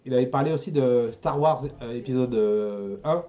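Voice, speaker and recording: A man chatting casually on a panel, often halting and repeating words as he searches for them. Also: medium pitch, dark tone, dull audio. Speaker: panelist on an anime talk show. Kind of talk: casual chat.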